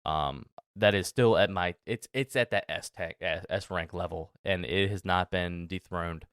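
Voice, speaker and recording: A thirty-year-old man hosts a solo podcast in a deep, smooth voice. He talks casually and loosely, circling back with ums and restarts. The recording is close and dry. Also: unhurried, fairly bright tone, dry recording. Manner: rambling, many fillers and restarts. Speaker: podcast host.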